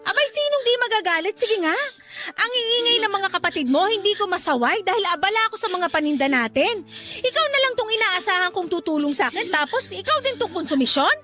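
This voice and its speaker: A woman's voice: very high-pitched, animated, fairly quick, sharp tone, faint music behind, exaggerated voice. An actress playing a strict, hot-tempered mother who sells goods, nagging and scolding in a radio drama. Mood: exasperated.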